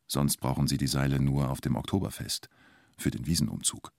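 An actor playing a wry, colloquial storyteller in a radio drama, speaking in clipped present-tense phrases. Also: male voice, very deep voice, a little fast, creaky, dry recording, studio quality. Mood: wry, observing. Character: male storyteller recalling the bank siege, wry and colloquial.

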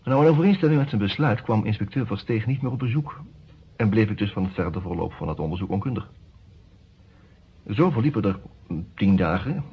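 An actor playing a male defence lawyer in a radio play, formal and deliberate, with hesitant pauses. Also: sits low, gravelly, slightly rough, heavily acted. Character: male defence lawyer, narrating his case, hesitant under questioning. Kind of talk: Dutch radio play narration and dialogue. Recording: dull, noisy old radio recording.

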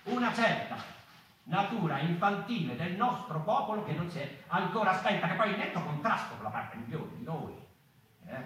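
An actor playing a weary, agitated member of a mouse people in a theatrical monologue, declaiming haltingly and repeating words and phrases. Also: male voice, a little high, bright, forceful tone. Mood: halting, musing. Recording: very echoey hall, distant, faint noise.

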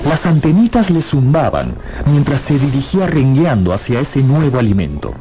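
A man narrating a dramatised radio audiobook in a theatrical, expressive storytelling manner. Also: medium pitch, moderate pace, over music.

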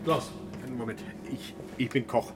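An actor playing an earnest, food-obsessed chef, insistent and somewhat hesitant, in a German radio drama. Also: male voice, medium pitch, moderate pace, music in the background, close to an everyday voice. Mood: earnest, fervent. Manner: earnest, insistent, repeats himself. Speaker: chef character and narrator.

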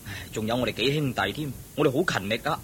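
An actor playing a dutiful, patient grown son in a radio drama, earnest and soothing, with a Hong Kong Cantonese accent. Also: male voice, quick pace, a bit bright, natural voice. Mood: concerned. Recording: old radio drama with faint rumble.

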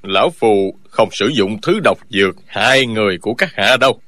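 A man's voice: on the low side, lively intonation, normal speed, pushed and bright, dry recording. An actor voicing a greedy, stubborn old man who quavers and wails as he clings to his treasured saber in an audiobook. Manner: quavering, wailing, grasping. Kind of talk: audiobook character dialogue.

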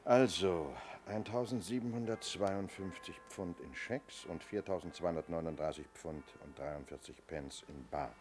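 An actor playing a businesslike police inspector in a 1970s German radio crime play, asking clipped, matter-of-fact questions. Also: male voice, sits low, normal speed, few pauses, darkish tone, slight background noise, lightly acted. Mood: probing, calm.

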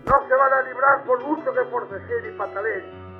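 An actor playing a menacing, pompous fascist general who harangues and taunts listeners in 1930s wartime radio broadcasts through an old radio filter. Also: male voice, very high voice, moderate pace, over music. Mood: threatening. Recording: tinny, band-limited old radio broadcast.

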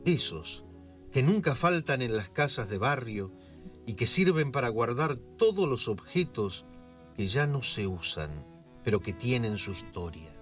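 Neighborhood storyteller male actor for radio drama, Porteño accent, mid-range voice, leisurely and expressive. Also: medium pitch, a little slow, faint music behind, natural voice. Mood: storytelling. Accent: Argentine, Buenos Aires. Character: storyteller narrator who knows the neighborhood intimately. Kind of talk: scripted radio drama narration.

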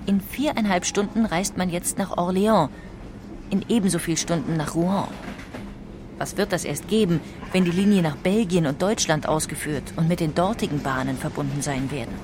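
Female documentary narrator, lowish voice, composed literary delivery for an audiobook. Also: moderate pace, noisy background. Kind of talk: scripted documentary narration with read quotations.

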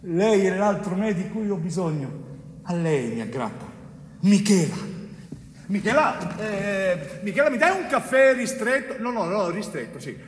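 An actor playing a wrongly convicted Sicilian bricklayer in a theatrical monologue, tormented and tender, pleading haltingly with repetitions and dramatic pauses. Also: male voice, high-pitched, lively intonation. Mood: nervous, eager. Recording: echoey hall, distant mic, faint noise.

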